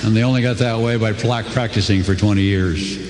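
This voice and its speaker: An older man giving a folksy, earnest motivational speech to a crowd, his pitch swooping for emphasis. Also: fairly even intonation, a little fast, background noise. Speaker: company co-founder speaking to a crowd. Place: large hall before an audience. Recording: echoey hall, faint ambience.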